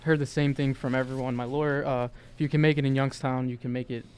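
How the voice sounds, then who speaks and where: A man being interviewed as a guest, answering in a plain, even, thoughtful way with frequent pauses and fillers. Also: mid-range voice, slight background noise. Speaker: restaurant owner guest. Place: restaurant bar.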